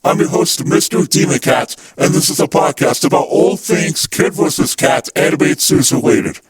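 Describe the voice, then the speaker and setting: A man's voice: fairly high pitch, very animated, normal speed. A man hosting a fan podcast, reading scripted narration. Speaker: podcast host.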